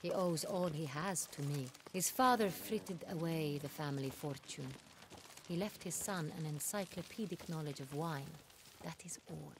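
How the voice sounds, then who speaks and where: An actress playing a regal, commanding duchess in a fantasy game, speaking formally and with authority. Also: female voice, sits low, moderate pace, a little hiss or hum. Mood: calm, assured. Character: imperious, commanding young duchess, regal and sharp-tempered. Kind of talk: video game voice acting.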